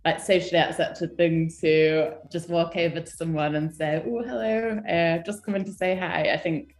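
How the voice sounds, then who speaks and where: A young woman from England, a guest designer chatting casually on a live stream, hesitant with many ums and soft laughs. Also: fairly low pitch, steady tone, normal speed, reverberant.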